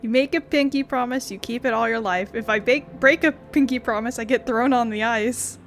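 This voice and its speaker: Gamer woman, fairly high voice, playful, reading game text out loud and chatting as she plays. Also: a little fast. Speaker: gaming video host. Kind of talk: casual game playthrough, reading text aloud.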